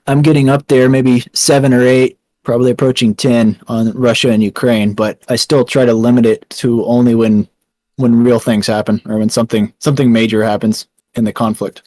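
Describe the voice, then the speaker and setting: A man's voice: medium pitch, flat delivery, brisk, warm tone, no room sound. A young man with a Texan accent, answering interview questions with many ums and restarts. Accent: Texan American. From Texas, USA. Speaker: founder and writer of a small news site.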